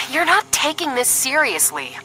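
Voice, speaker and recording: A woman's voice: high voice, sing-song, measured pace, very noisy. A woman acting as a sardonic, duty-minded young paramilitary agent in a scripted audio drama, dry and serious. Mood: weary, dry.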